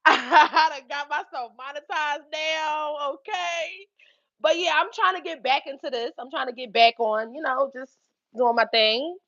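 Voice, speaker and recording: High-pitched young woman, Philadelphia accent, bright voice, animated livestream storytelling, dry dull sound. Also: measured pace, dry recording. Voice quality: animated, swears freely, laughs often. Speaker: YouTube livestream host. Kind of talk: casual livestream storytelling to chat.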